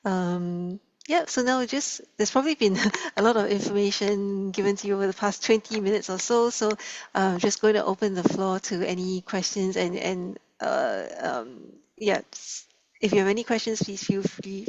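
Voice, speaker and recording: A woman's voice: medium pitch, dry recording. A woman presenting informally on a video call in a hesitant, think-aloud way. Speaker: presenter at an online kickoff meeting. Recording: slightly dull, dry video call audio.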